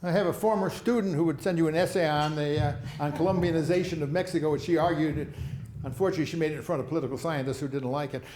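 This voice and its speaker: An older man delivers a loose, rambling lecture on policy, laughing softly at his own stories. His voice sits a little high for a man. The sound is roomy, like a hall. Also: steady tone, fast. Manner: rambling, chuckling asides. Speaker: speaker on foreign policy. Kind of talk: lecture answering audience questions.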